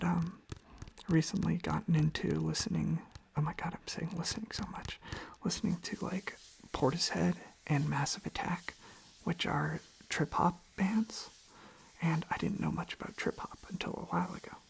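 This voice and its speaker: A whispered, breathy voice rambling sleepily and gently in casual ASMR style, softly repeating phrases. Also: medium pitch, steady tone, moderate pace. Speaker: ASMR video creator. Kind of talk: ASMR, softly rambling casual chat while tapping on objects. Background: tapping and clicking on objects.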